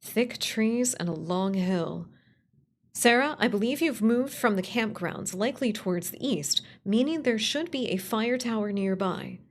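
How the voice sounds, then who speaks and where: Woman playing a measured, reassuring radio host. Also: on the high side, animated. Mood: calm, reassuring. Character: calm, steady radio call-in host guiding frightened caller. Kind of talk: radio play broadcast host.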